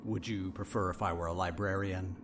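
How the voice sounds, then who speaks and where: A man's voice: sits low, steady tone, fairly quick, background noise. An actor playing a smug, evasive male therapist with an arch, formal manner in an audio drama. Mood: smug, wry.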